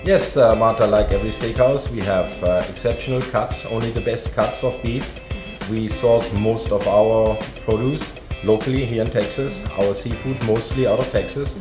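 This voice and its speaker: A man, a chef, answering interview questions in a measured way with some fillers. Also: on the low side, music in the background. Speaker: executive chef. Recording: echoey room.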